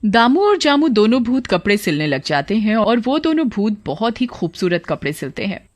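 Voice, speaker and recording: A woman's voice: a little high, very animated, normal speed, dry recording. Woman narrating a story, dry close sound. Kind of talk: scripted cartoon story narration.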